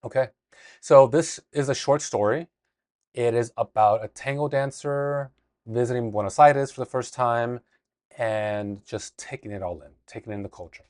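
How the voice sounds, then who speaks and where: A man teaching a casual Spanish lesson in a relaxed, explanatory way, often restarting his sentences. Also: medium pitch, moderate pace, no echo, studio quality.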